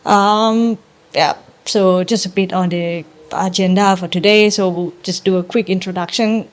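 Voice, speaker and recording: A young woman gives a talk to an audience at a middle pitch. She explains things step by step in a measured way. She fills pauses with ums and her English grammar slips now and then. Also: steady tone. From Thailand. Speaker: startup co-founder giving a talk. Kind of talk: presentation to a live audience.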